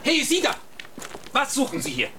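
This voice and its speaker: An actor playing a strict site guard in a German radio drama, brusque and official. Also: male voice, extremely high pitch, huge pitch swings, normal speed, noisy background, full character voice. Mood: stern.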